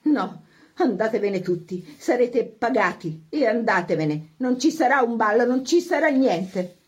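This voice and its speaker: A woman voicing a vain, highly strung society hostess with an agitated, emphatic, theatrical delivery in a storybook. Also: expressive, a little slow, darkish tone, slightly rough. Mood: furious, hysterical.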